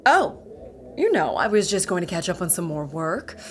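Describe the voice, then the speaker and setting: A woman's voice: lowish voice, big pitch swings, moderate pace, a little hiss or hum. A woman playing a nosy, self-satisfied big boss in a sitcom podcast, theatrical and confiding. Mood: excited, confiding.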